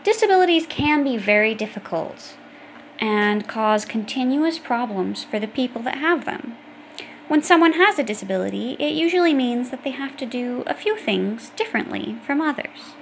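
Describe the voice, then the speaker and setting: Higher-pitched woman reading a children's book. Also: lively intonation, moderate pace, slight background noise. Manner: explanatory, addressing young children directly. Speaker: audiobook reader. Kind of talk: educational children's book narration, read aloud.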